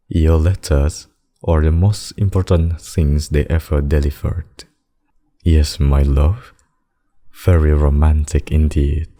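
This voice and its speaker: Man acting as very deep, devoted demon king. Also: dark tone. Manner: slow, formal, doting speech with endearments. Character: devoted, protective demon king, tender male lover. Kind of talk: intimate ASMR roleplay acting.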